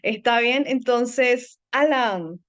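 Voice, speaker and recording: A woman's voice: on the high side, drawn-out words, muffled recording. A woman hosting a casual talk show, chatty and laughing easily as she welcomes her guests. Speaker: show host.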